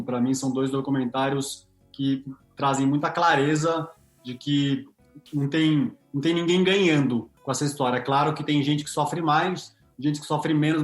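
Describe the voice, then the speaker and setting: A man's voice: fairly high pitch, reverberant. A man with a Brazilian Portuguese accent, a podcast guest speaking openly and a little self-deprecatingly about his work. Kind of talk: casual podcast chat.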